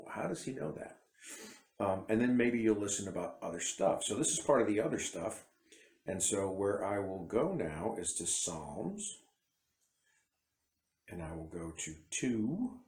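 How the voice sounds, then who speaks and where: A man's voice: deep voice, darkish tone, roomy sound. A man giving a casual, rambling bible commentary to camera, often breaking off and restarting his sentences. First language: English. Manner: rambling, self-interrupting, conversational. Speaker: independent bible teacher.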